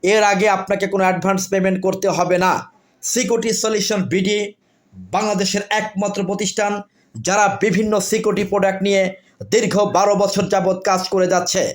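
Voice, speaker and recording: A man with a Bangladeshi accent presenting products in a repetitive sales pitch. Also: level tone, quick pace.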